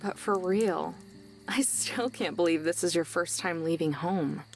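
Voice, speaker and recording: A woman's voice: on the low side, measured pace, vocal fry, soft background music. A woman playing a curious, blunt young woman on a road trip in visual novel game dialogue. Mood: curious, incredulous.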